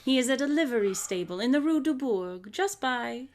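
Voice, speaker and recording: A woman's voice: sits high, lively intonation. Composed, shrewd lady detective. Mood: courteous, sly. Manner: formal, measured, authoritative. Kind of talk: live radio drama acting.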